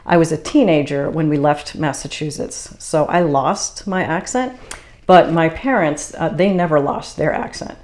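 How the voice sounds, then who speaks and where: Low-voiced woman calmly explaining. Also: moderate pace. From Boston area, USA. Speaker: spiritual channeler and video host. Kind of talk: casual explanatory monologue.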